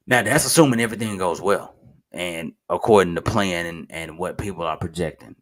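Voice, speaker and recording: Man, 30s, very low voice. Casual, rambling online host who stops to correct himself. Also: fairly bright tone. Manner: rambling, self-correcting, with frequent fillers and restarts; informal, addresses viewers as "guys". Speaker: finance video host. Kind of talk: casual screen-share commentary.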